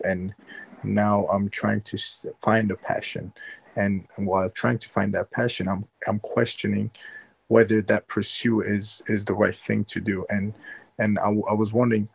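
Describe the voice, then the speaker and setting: A man who stumbles through his question with many restarts. His low voice is gravelly, and it sounds dry and close. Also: no echo. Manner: halting, full of restarts. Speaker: audience caller. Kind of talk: casual question.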